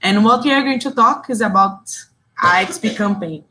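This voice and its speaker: A young Brazilian woman runs an online workshop in accented English, her voice sitting in a middle register. She reads participants' chat replies aloud and answers each with a quick word of praise. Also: mid-range voice, pushed and bright, slight background noise, rough recording. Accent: Brazilian Portuguese. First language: Portuguese. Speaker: session facilitator.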